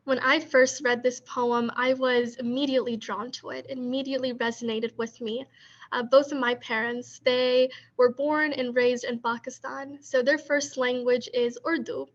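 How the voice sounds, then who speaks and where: A woman co-curator hosting a poetry gathering on a video call, reading a poem in a measured way and then talking casually with a few ums. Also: high-pitched, flat delivery, highs cut off. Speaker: co-curator and discussion host. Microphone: video call computer mic.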